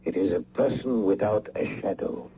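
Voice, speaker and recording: A muffled vintage radio mystery with faint hiss presents a suave male spymaster. He phrases things formally and menacingly in a very dark, gravelly voice. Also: on the low side, very animated. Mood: ominous, calculating. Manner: formal, measured, courteous phrasing. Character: suave, menacing spy handler, formal and deliberate. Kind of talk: radio mystery drama acting. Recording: muffled, noisy old radio broadcast.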